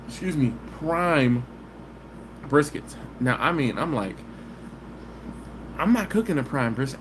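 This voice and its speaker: A man, hosting a casual live stream from home on his phone and chatting easygoing with viewers. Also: medium pitch. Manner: easygoing and chatty, with drawn-out "mm-mm" hums. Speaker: barbecue channel host. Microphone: phone camera. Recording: live stream audio with background hiss.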